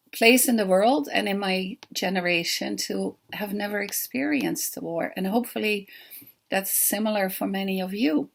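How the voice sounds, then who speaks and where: An older woman with a Dutch accent, a children's book author giving an earnest, unhurried pre-recorded talk. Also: medium pitch, vocal fry. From Netherlands.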